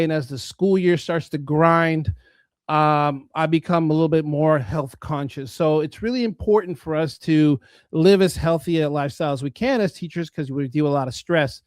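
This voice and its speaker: A man with a fairly high voice hosts an interview show. He talks earnestly and sometimes stumbles and restarts his sentences. The sound is dry and close. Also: normal speed, no room sound. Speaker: host. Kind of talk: interview and sponsor talk.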